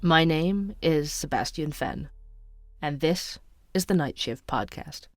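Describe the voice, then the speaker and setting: Earnest young podcast narrator over music. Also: male voice, on the high side, expressive, takes it slowly. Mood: brooding, poetic. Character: earnest curious young man, night-shift barista and amateur podcaster. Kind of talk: scripted audio drama narration.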